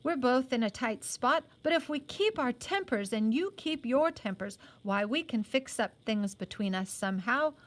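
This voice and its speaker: A woman's voice: fairly high pitch, expressive, lightly acted. Woman reading as an earnest older army medical officer. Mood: earnest, conciliatory. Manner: measured, earnest, conciliatory. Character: older male British army medical officer, civil and serious.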